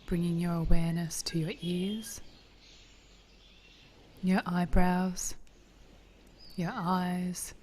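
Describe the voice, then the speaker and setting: A woman leading a guided meditation in a gentle, soothing, hushed voice, with phrases trailing into breath. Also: lowish voice, level tone, slow, long pauses, slight background noise.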